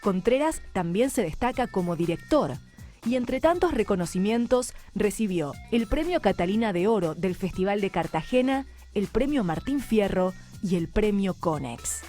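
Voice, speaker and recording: A woman reads a formal introduction in a steady, measured voice. Her tone is mellow and dark. Faint music plays underneath. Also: mid-range voice. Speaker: announcer. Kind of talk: scripted introduction.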